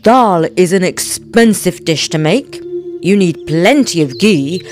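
Woman voicing a crafty, animated storybook woodman. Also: fairly low pitch, takes it slowly, over music. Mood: crafty bargaining. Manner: wheedling, casually sly. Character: crafty, greedy, confiding poor woodman, husband. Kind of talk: children's storybook character voice.

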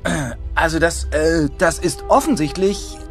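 An actor playing an anxious, bossy male turtle in a German animated film dub, fussy and hesitant. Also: on the high side, measured pace. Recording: music under the voice.

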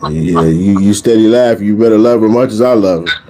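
A man's voice: on the low side, brisk, dark tone. A middle-aged American man talking as a streetwise prank caller, confronting someone and repeating himself, recorded on a phone at arm's length. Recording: noisy phone-camera recording.

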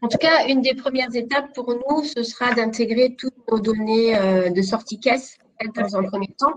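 A woman's voice: a little high, moderate pace, low-quality recording. A woman on a video call panel explaining the steps of a planning project. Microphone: video call webcam.

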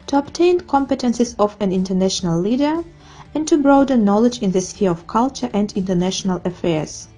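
A woman giving scripted narration in an even, formal reading. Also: mid-range voice, husky. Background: soft music.

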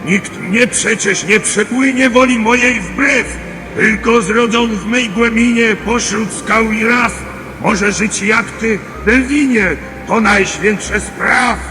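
A man's voice: high voice, slow pace. Man voicing a mighty ocean spirit, vast reverb. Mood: menacing, solemn. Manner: solemn, declamatory verse delivery. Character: mighty, angry, menacing great ocean spirit, male. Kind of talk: audio drama acting. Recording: long cavernous reverb over music.

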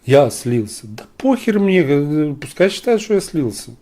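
A man's voice: a little high, big pitch swings, measured pace, warm tone. A man from Ukraine arguing on a video chat stream, blunt and mocking with sarcastic asides. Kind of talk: argumentative online video chat.

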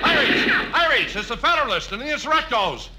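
A man's voice: very high voice, sing-song, quick pace, over loud music, voice pushed up, low-quality recording. A man playing a put-upon, panicky prospector in a radio comedy sketch, crying out in alarm. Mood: panicked. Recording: old radio broadcast recording.

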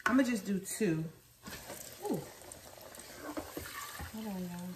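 A woman's voice: medium pitch, slow pace. A woman in her forties cooking at home for a casual vlog, chatting to viewers as y'all. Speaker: cooking vlogger, mother. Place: home kitchen, indoors. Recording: kitchen noise, frying sizzle. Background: frying and dish clatter.

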